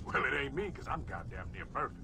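A man's voice: medium pitch, sing-song, fast talker, background noise. A man playing a brash, cocky, foul-mouthed cyborg soldier in a video game. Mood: cocky teasing. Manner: boastful, jokey, swearing. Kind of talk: video game cutscene acting. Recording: loud music over the voice.

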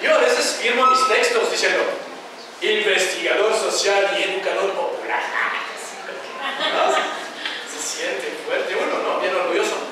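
French-born man, high voice in echoey room. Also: a little slow. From France. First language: a regional language of France. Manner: thoughtful, hesitant, many restarts and fillers. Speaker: panel speaker, researcher. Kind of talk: academic panel talk. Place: large classroom with panel audience. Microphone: handheld mic, far camera. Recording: echoey room, far mic, background hum.

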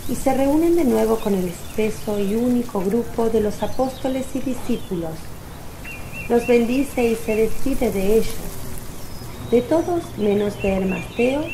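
A woman's voice: a little high, animated, measured pace, background noise. A woman narrating a scripted religious radio drama.

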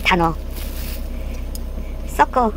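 A middle-aged woman chatting casually and narrating a camping trip, giving brisk cooking instructions to her partner. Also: a little hiss or hum. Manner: bossy instructions while cooking.

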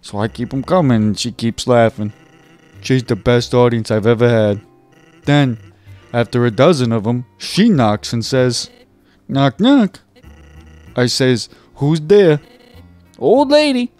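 Laid-back male let's-player, jokey read of game dialogue, quiet game music under him. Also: medium pitch, steady tone, normal speed, no room sound. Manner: laid-back, jokey, reads lines aloud. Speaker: let's play host. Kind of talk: reading game dialogue aloud in voices. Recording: faint game music behind.

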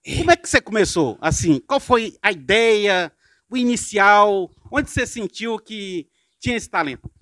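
A man's voice: high voice, moderate pace, dry recording, clean studio sound. A middle-aged Brazilian man reporting and interviewing outdoors in a residential street, holding a handheld mic. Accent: Brazilian Portuguese. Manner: energetic presenter, prompting questions.

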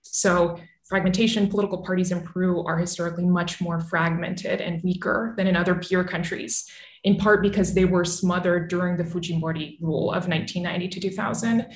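A woman in her thirties explaining politics as an expert guest on a video call, articulate and measured. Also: on the low side, fairly even intonation. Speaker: newsletter author and analyst guest. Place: small home room with bookshelf, indoors. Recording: roomy, echoing video call audio.

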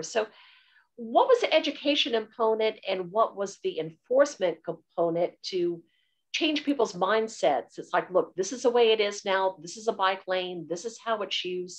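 A woman hosting a live online Q&A on a video call, asking long, winding questions. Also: lowish voice. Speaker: event host asking questions.

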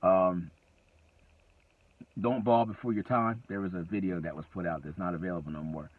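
A middle-aged man vlogs from the seat of his parked car, speaking in a somewhat low register. He talks casually but with emphasis, circling back and repeating himself to drive points home. The sound is thin and phone-like, close and dry with no room echo. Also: steady tone, moderate pace. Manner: conversational, emphatic, repeats phrases. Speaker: trucking vlogger. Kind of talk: casual advice vlog. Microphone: phone camera at arm's length.

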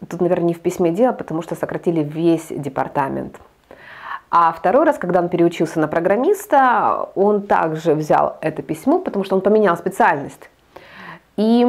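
Chatty woman vlogger, lowish voice. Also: a little mellow. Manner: chatty, hesitant, many fillers and restarts. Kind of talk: casual talk to camera. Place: indoor studio with green backdrop. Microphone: lapel mic.

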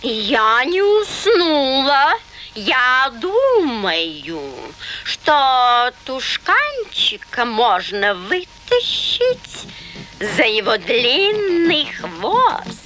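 A woman voicing a wise old tortoise in an animated film, speaking in a deliberate, thoughtful way with pauses. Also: high voice, heavily acted, poor audio. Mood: slow, pondering. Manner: slow, deliberate, pondering with pauses. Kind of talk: animated film character acting.

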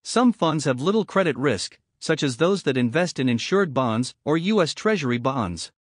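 Male reader for scripted exam samples; fairly high voice, measured delivery, very dry recording. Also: animated, no echo. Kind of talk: scripted read-aloud.

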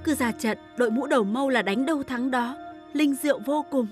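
An actress playing a trusting, devoted young princess, sweet and obliging, in an animated historical drama. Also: female voice, sits high, a little fast, faint music behind, natural voice. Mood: proud, trusting.

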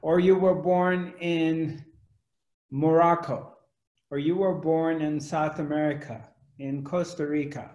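A man's voice: a little high, fairly even intonation, slow pace, a little mellow. A man in his forties giving a spiritual talk to a webcam at home, asking question after question and gesturing broadly. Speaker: spiritual teacher. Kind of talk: spiritual discourse to camera. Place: home room indoors. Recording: echoey room.

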